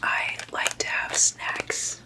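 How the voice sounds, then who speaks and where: Slow whispering ASMR woman. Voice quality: breathy unvoiced whisper. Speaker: ASMR video host. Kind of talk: ASMR, whispered informal talk.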